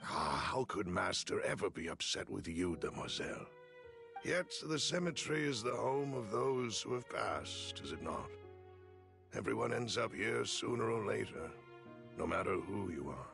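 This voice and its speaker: Low, gravelly courtly gentleman retainer. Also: sing-song. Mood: wry, courtly. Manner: formal, measured, gallant. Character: courtly, devoted gentleman retainer, wry and solemn. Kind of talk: anime video game voice acting. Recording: background music under dialogue.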